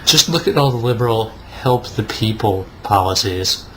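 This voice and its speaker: A man's voice: lowish voice, lively intonation, normal speed, poor audio. Sarcastic man with faint noise behind. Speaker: co-host. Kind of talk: mock-dramatic reading with casual banter.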